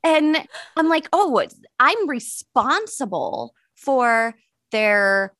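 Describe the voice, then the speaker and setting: An American woman of thirty-nine hosting a comedy podcast in casual chat, candid and casually profane, quick to laugh. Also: high voice, very animated, a bit bright, dry recording, studio quality. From Pittsburgh, United States. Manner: laughs readily.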